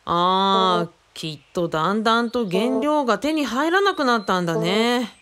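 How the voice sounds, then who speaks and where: A woman's voice: medium pitch, animated, moderate pace, a bit bright, slight background noise, natural voice. A woman playing a frank, curious, wry apprentice in a radio drama, speaking casually and bluntly. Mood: curious, musing.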